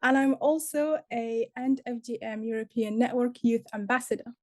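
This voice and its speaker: A woman's voice: fairly high pitch, normal speed. A young woman, originally from Sudan, a youth activist speaking in an interview. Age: young adult. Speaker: youth activist, podcast co-host. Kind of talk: interview answers.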